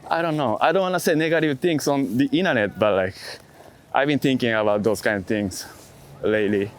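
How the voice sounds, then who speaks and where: A man's voice: mid-range voice, brisk. A man being interviewed casually about his work, speaking reflectively in short, hesitant fragments with non-native English phrasing. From outside the US. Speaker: street photographer being interviewed.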